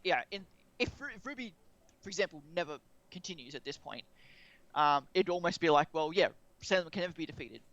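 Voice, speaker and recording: A man's voice: a little high, expressive, fairly quick, fairly bright tone, dull audio. A man co-hosting a podcast in casual discussion, rambling and often rephrasing mid-sentence. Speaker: podcast co-host.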